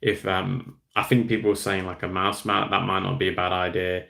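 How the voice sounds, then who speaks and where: A low-voiced man talks loosely to his livestream viewers, rambling between ideas and reading out comments. His voice sits deep, with a gravelly, fry-laden edge. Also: level tone, moderate pace, bright, forceful tone. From Australia. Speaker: hobby channel host. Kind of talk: casual livestream chat.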